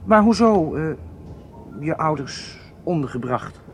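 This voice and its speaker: An actor playing a polite, slightly hesitant gentleman traveller in a radio drama. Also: fairly high pitch, big pitch swings, slow, full character voice. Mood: curious, courteous. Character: courteous, hesitant gentleman traveller making acquaintance, secretly an agent. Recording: radio play with clear background noise. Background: train ambience.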